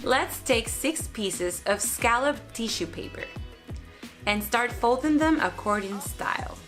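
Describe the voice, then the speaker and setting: Lady doing upbeat craft how-to, kinda high voice, echoey room, bit of music behind. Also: a bit bright. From Mexico. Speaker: craft presenter. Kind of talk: upbeat instructional craft tutorial.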